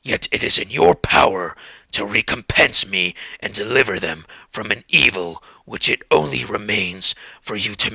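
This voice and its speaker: A wretched, eloquent monster, speaks in a low, heavily creaking rasp. He delivers his literary monologue slowly and theatrically, in archaic words, bitter and anguished toward his creator. The recording is close and dry. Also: pushed and bright, no echo, exaggerated voice, low-quality recording. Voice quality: gravelly, rasping.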